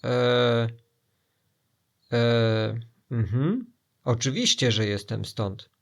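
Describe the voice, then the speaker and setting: A man's voice: medium pitch, drawn-out words. A man voicing a nervous storybook character who bluffs hesitantly with false carefree confidence. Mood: nervous bluffing.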